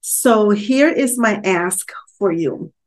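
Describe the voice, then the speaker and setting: An upbeat woman hosts her own podcast, speaking at a middle pitch. She talks in a warm, encouraging way and fills her pauses with frequent "um"s. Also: expressive, low-quality recording. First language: not Spanish; English first language. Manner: upbeat, encouraging, many "um" fillers. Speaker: podcast host and author. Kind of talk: solo podcast talk.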